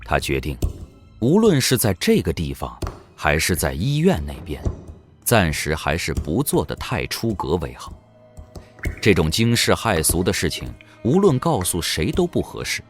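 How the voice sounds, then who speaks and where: Male narrator, lowish pitch, very expressive, dramatic audio drama storytelling, music behind. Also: moderate pace. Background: background music.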